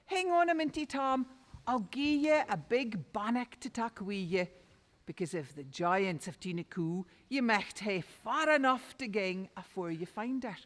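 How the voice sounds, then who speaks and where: A woman voicing an anxious farm wife and mother with a North-East Scots accent, shrill and fretful. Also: expressive, unhurried, big-room sound, natural voice. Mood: caring.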